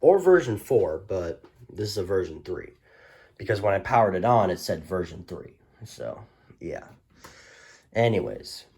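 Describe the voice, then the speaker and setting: Casual male collector-presenter, lowish pitch, hesitant and rambling, informal product showcase delivery. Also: sing-song, unhurried, darkish tone.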